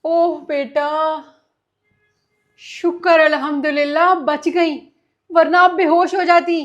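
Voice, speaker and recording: A woman's voice: very high-pitched, steady tone, takes it slowly, lo-fi sound, faint music behind. A woman playing a caring, protective mother of young children in an acted moral-story skit. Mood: concerned.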